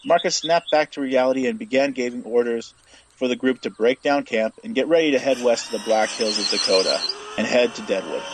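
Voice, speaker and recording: A man's voice: mid-range voice, fairly quick, slight background noise, low-quality recording. A man reading scripted Western fiction aloud as the narrator of an audio story.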